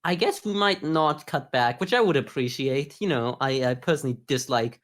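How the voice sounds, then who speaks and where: A woman's voice: extremely low pitch, normal speed. A woman co-hosting a podcast, casually commenting on anime with a skeptical manner. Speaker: podcast co-host.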